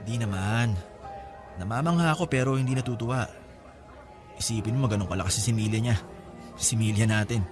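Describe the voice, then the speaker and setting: A man's voice: lowish voice, fairly quick, pauses often, close to an everyday voice. A man acting a laid-back, candid older half-brother who likes women, in a casual, joking Filipino radio drama style. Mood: light, admiring. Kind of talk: Tagalog radio drama dialogue, conversational. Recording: music bed behind the voice.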